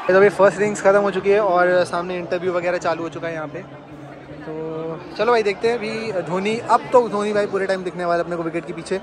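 An excitable man in his twenties chatting casually to his phone as a vlogger, mixing English words into his speech. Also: high-pitched, fast. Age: 20s. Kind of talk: casual vlog chat, live match reactions. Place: in the stands of a large open-air cricket stadium. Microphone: phone at arm's length. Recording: loud stadium crowd and music behind.

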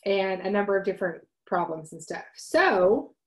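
Earnest forties woman host, loud voice, halting storytelling into a home webcam. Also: medium pitch, lively intonation. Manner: earnest, many ums and restarts, talks with her hands. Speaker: host of a wellness livestream. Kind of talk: casual personal storytelling. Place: home room, indoors. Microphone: webcam at desk.

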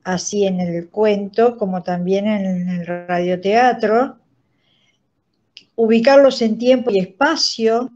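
An older Argentine woman speaks as a guest on a video call, with dull, lo-fi sound. She explains in a slow, didactic manner, and her tone is soft-edged and mellow. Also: mid-range voice. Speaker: guest, radio theatre director and writing teacher. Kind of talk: explanatory interview talk. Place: indoors, plain room on a video call.

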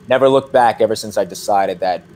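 A young man speaks from his living room couch over a webcam call. His voice sits on the low side and he talks animatedly, stacking fillers. A faint hiss of room noise sits underneath. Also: brisk. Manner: animated, gestures a lot, frequent fillers. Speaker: actor, interview guest. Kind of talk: casual interview answers. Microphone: webcam on video call.

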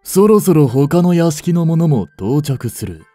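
A man playing a suave, greedy young butler in a romance audio drama, polite and softly menacing in an unhurried way. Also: a little high, animated, dry recording, soft background music. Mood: stern. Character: suave, greedy young male butler turned possessive betrayer.

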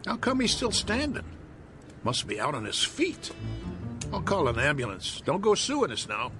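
An actor playing a sour, wisecracking baseball manager in a radio drama, blunt, slangy and sarcastic. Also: male voice, a little high, huge pitch swings, normal speed, music in the background. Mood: startled, concerned.

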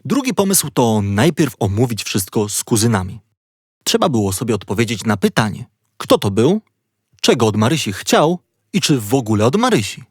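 A man narrating a children's story in an expressive, playful storytelling manner. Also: mid-range voice, normal speed, slightly rough, no room sound, studio quality.

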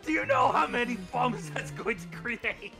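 A woman's voice: on the high side, huge pitch swings, normal speed, gravelly, music in the background. A woman chatting casually as co-commentator on a game stream. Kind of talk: casual chat.

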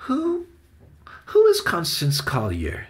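Man putting on a high, timid little boy. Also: wildly swooping pitch, slow pace, heavily acted. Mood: anxious, timid. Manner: hesitant, stammering. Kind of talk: children's storybook character voice.

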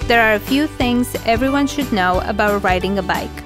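A woman's voice: fairly high pitch, moderate pace, music in the background. A woman reading scripted instructional narration.

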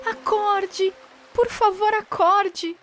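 A woman voicing a young mermaid princess in a cartoon, high and pleading. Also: fairly even intonation, drawn-out words, a little hiss or hum, exaggerated voice. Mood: urgent.

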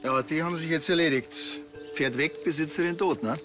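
An actor with a Bavarian accent playing a dry, laconic village policeman with a deadpan manner. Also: a little high, a little fast, music in the background, lightly acted.

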